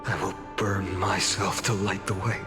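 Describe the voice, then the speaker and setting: An actor voicing a solemn, self-sacrificing male warrior in a fantasy game, with a grave, hushed and determined delivery. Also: low voice, level tone, measured pace. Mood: resolute. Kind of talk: dramatic fantasy game voice acting. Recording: long reverb under clear background music.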